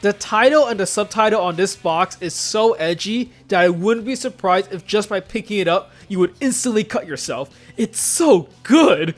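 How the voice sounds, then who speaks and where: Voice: mid-range voice, lively intonation, normal speed, hardly any pauses, faint music behind. A YouTube host giving scripted commentary, emphatic and stretching words for comic exaggeration. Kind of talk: scripted video commentary on visual novels.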